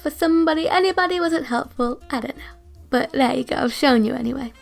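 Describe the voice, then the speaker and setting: A young woman from England with a northern English accent, chatting casually about her art, a little croaky from just waking up and wearing retainers. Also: high voice, fast, faint music behind. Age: young adult. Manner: chatty, self-deprecating, rambling asides. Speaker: art vlogger. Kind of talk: casual chatty voiceover and to-camera talk.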